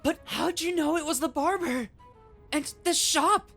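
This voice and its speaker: A woman voices an excitable young police officer in an anime dub. The voice is extremely high, earnest and exasperated, with light music underneath. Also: a little slow, full character voice. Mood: puzzled. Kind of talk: anime fan dub acting. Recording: voice over background music.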